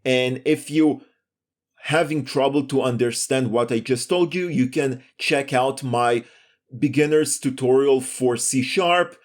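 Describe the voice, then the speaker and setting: A man's voice: medium pitch, measured pace. A man giving a step-by-step screencast programming lesson in a methodical, explanatory way. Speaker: programming tutorial instructor.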